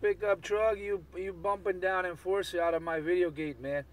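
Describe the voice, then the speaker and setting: A man with a high voice banters over CB radio. He sounds distant from the microphone. His talk is slangy and repetitive, looping catchphrases. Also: fairly even intonation, normal speed, background noise. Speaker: CB radio operator. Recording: distant CB radio transmission, outdoor vehicle sound.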